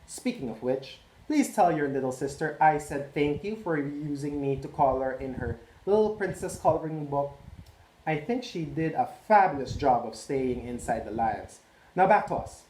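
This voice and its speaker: A man's voice: on the high side, a little mellow, lightly acted. A man voicing a pushy, neglected pink crayon reading its letter. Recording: echoey room, faint noise.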